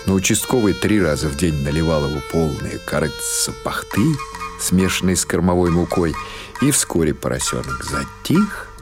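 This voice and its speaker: A man reading literary prose as an audiobook narrator, in a scripted storytelling style. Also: low-pitched. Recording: music behind the voice. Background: music.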